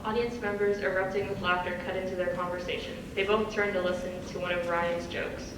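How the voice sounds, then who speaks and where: A woman's voice: on the low side, flat delivery, a little fast. A woman reading stage directions as the narrator at a script table read. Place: large reverberant room, recorded from afar. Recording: very echoey hall, far mic, clear noise.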